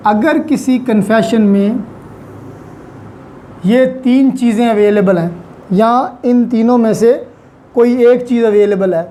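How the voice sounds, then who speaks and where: A woman with a Pakistani accent giving an explanatory law lecture, deliberately and with repeated key points. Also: medium pitch. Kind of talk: explanatory lecture mixing in English legal terms. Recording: faint steady background noise.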